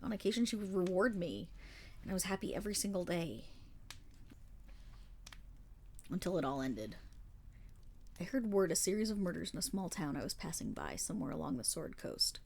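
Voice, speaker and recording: A woman playing a kindly, weary and remorseful paladin in a fantasy roleplay audio, speaking formally in measured, gentle storytelling with dramatic pauses. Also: on the low side, sing-song, creaky, exaggerated voice. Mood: wistful. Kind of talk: fantasy roleplay audio monologue addressed to the listener.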